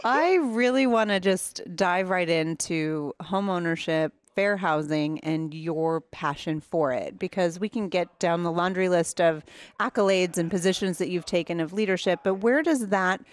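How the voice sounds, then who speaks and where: Woman host, lower voice, dry, friendly interviewer. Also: moderate pace, no echo. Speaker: podcast host. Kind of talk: interview hosting. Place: sunny room with large windows. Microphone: headset mic.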